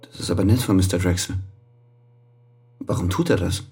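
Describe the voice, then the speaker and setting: An actor playing a weary male prisoner in a radio crime drama, speaking quietly and guardedly. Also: deep voice, moderate pace, over loud music.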